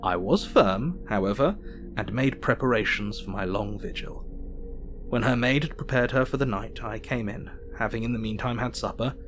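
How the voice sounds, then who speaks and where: An actor playing an earnest Victorian doctor, narrating his diary in an audio drama with a measured, formal delivery. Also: on the low side, lightly acted. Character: earnest Victorian doctor keeping a night vigil.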